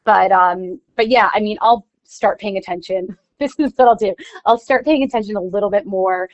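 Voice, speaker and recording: A woman's voice: medium pitch, normal speed. A woman speaking casually as an interview guest, candid and informal, thinking aloud as she goes, on slightly lo-fi audio. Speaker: newspaper columnist, interview guest.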